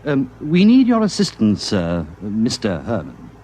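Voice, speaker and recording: An actor playing an earnest, formal and fussy British intelligence officer with a British accent in a 1950s radio drama. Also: male voice, fairly low pitch, wildly swooping pitch, a little slow, dark tone, slight background noise. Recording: muffled old radio recording.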